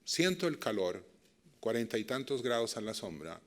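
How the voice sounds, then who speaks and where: A middle-aged man with a Chilean accent speaks to a live audience in a master class. He talks in an anecdotal way and turns to his listeners with direct questions. His voice sits at a medium pitch. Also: sing-song, normal speed. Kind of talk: storytelling lecture to a live audience.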